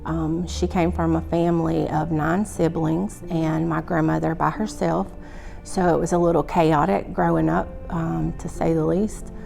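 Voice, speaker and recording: A woman's voice: low-pitched, little pitch movement, unhurried, gravelly. A woman from Georgia telling her recovery story in a candid, matter-of-fact way. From Rome, Georgia. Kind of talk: personal recovery testimonial. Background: soft music.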